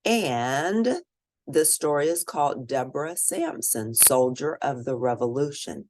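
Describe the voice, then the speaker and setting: Expressive woman reading aloud, very animated pitch. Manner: deliberate, expressive, teacherly. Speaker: children's read-aloud narrator and educator. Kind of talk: picture book read-aloud with asides to young listeners.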